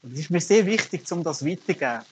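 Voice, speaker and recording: A man's voice: on the high side, expressive, fast, slight background noise. A middle-aged man, preaching a sermon as a pastor and telling stories earnestly with emphatic rises. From Germany.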